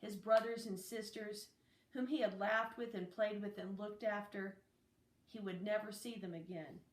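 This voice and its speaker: A woman reading a children's novel aloud as narration, in a deliberate, phrase-by-phrase way. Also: mid-range voice, steady tone. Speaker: audiobook reader. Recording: roomy, echoey, distant microphone.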